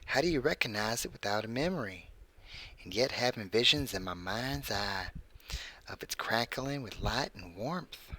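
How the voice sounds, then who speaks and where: A man's voice: on the low side, animated, moderate pace, bright, forceful tone. A man reading a creepy story in the first person as a lonely, puzzled, incorporeal spirit, in a solemn literary monologue. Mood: brooding, uneasy.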